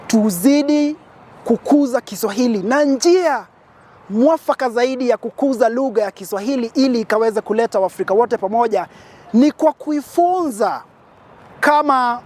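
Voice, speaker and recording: A man's voice: extremely high pitch, moderate pace, a little mellow. Emphatic man giving a speech. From Kenya. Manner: emphatic, repetitive rhetorical lists. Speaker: petitioner. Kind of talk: impassioned advocacy speech.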